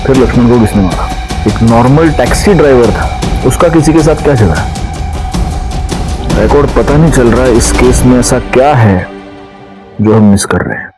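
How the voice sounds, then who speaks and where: Senior cop for TV crime serial, male actor, mid-pitched, commanding and dry, over dramatic music. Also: normal speed, pauses often, close to an everyday voice. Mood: pondering. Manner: authoritative, interrogating, wry. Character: commanding male senior police inspector leading a murder investigation. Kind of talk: Hindi TV crime drama acting. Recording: dramatic music under dialogue.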